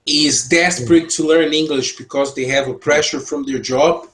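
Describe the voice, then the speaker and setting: A man's voice: a little high, steady tone, normal speed, fairly bright tone. A man asking questions casually as an interviewer, rephrasing tentatively as he goes.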